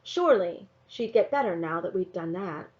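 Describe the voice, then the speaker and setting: A woman reading a children's novel aloud in an expressive narrating style. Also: on the low side, normal speed. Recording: slightly dull, lo-fi sound.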